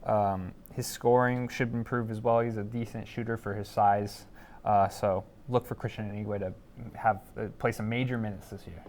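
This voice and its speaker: A man's voice: on the low side, fairly even intonation, normal speed, a little mellow, a little hiss or hum. A man giving opinions as a panelist in a casual sports discussion, often repeating words as he goes.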